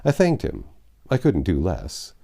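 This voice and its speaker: A man narrating a classic novel aloud in an expressive storybook style, savouring phrases with dramatic pauses. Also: sits low, vocal fry. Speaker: audiobook narrator.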